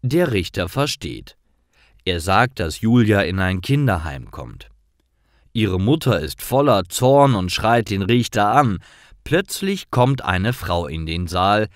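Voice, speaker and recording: Man, storyteller, scripted narration, deliberate, lively intonation, very dry close sound. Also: lowish voice, no room sound. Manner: clear, simple, deliberate read-aloud delivery. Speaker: storyteller for German learners. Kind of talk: scripted narration of simple stories.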